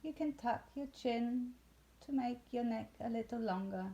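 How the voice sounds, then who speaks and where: A woman leading a guided yoga nidra meditation in a soothing, unhurried way with long pauses. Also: a little high, dark tone, muffled recording.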